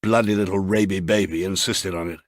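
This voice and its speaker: A man's voice: low-pitched, fairly even intonation, a little fast, no echo, studio quality, lightly acted. Man playing a grumbling, irritable British sailing man. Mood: annoyed.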